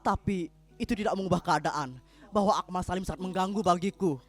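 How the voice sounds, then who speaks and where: Guy acting jealous insecure husband, highish voice, volatile n pleading, stage drama reading w music. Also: a little fast, close to an everyday voice. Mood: insistent. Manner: emotional, theatrical delivery. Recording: music behind the voice.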